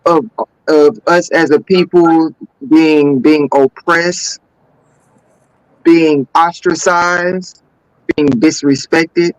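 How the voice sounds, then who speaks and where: A man's voice: a little high, fairly even intonation, very slow, pauses often, smooth, dry recording, rough recording. A man co-hosting a talk show, commenting casually and often repeating his words.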